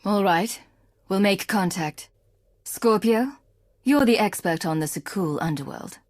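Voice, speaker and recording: An actress playing an authoritative, composed woman commander in a video game, measured and controlled with a husky voice. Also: mid-range voice, lively intonation, darkish tone, dry recording, lightly acted. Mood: businesslike. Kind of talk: video game cutscene acting.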